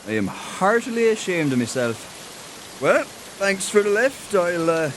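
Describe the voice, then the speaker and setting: A man acting in audio theatre as an apologetic, downcast gentleman, hesitant and mildly formal. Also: high voice, big pitch swings, a little slow, slight background noise, exaggerated voice. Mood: ashamed, hesitant.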